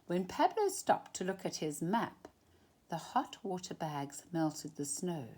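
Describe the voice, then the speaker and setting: A woman reads a picture book aloud to children in an expressive style. Her pitch swings widely while the pace stays leisurely, and she stretches phrases for dramatic emphasis. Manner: animated, dramatic emphasis with drawn-out phrases and hushed moments. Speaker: storytime reader.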